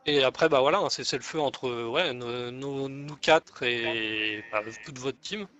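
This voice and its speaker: Through muffled game voice chat comes a man roleplaying a deferential gang negotiator. He rambles hesitantly and keeps a conciliatory tone, in a medium-pitched voice. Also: a little slow, fairly bright tone, natural voice. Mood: hesitant, bargaining. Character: deferential male gang negotiator offering an alliance. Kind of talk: improvised online roleplay dialogue.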